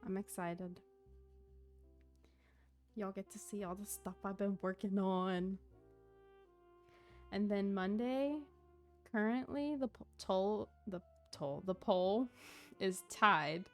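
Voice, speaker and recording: A woman's voice: medium pitch, slow, pauses often. A woman game streamer talking casually and informally to her viewers while she plays. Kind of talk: casual livestream chat while gaming. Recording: game music behind the voice. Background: game music.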